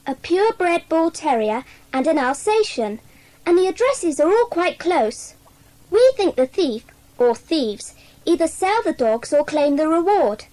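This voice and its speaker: A woman's voice: high voice, a little mellow, low-quality recording. A woman acting an earnest, eager child member of a secret club in a children's audio drama.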